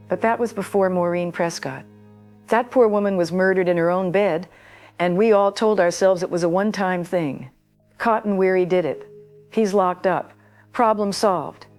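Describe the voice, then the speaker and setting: A woman playing a grave older caller, lower voice. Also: darkish tone, lightly acted. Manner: earnest, grave, insistent. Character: worried older woman radio caller, long-time local. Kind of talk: audio drama acting.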